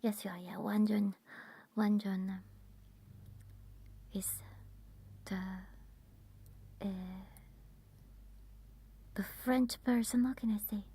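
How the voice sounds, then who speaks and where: A woman with an Italian accent, softly whispering while chatting with viewers on an ASMR live stream. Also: mid-range voice, fairly even intonation, slow pace, pauses often, breathy edge. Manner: soft whispering.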